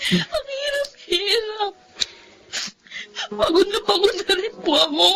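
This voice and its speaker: A woman's voice: extremely high pitch, lively intonation, slow pace, fairly bright tone, faint music behind, heavily acted. An actress playing an earnest, struggling but determined young female student in a radio drama, speaking with feeling. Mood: tearful, weary. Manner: emotional, respectful to elders. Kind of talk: radio drama acting and reflective narration.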